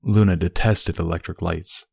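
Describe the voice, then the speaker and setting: Guy reading a story, lowish voice, dry sound with no room. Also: fairly even intonation, moderate pace, vocal fry, darkish tone, breathy edge, dry recording. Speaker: story reader. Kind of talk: scripted fiction narration.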